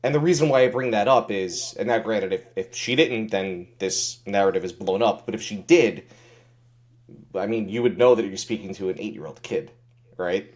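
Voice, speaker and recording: Male co-host, low voice, animated talker who hedges with repeated self-doubt. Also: moderate pace. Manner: animated, repeatedly second-guesses himself. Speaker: podcast co-host. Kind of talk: casual podcast conversation.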